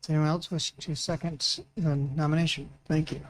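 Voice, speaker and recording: A man's voice: a little high, level tone, normal speed. A man chairing a meeting in formal parliamentary style, making short procedural calls.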